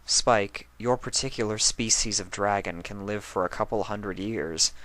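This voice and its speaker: A man reading a grave, soft-spoken night princess. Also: on the low side, measured pace, poor audio. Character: stern but caring pony princess of the night.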